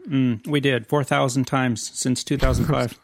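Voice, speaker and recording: A man's voice: mid-range voice, steady tone, moderate pace, vocal fry. A man co-hosting a casual podcast, joking around and reading beer labels aloud. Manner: jokey, reads labels aloud. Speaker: podcast co-host. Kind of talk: casual chat.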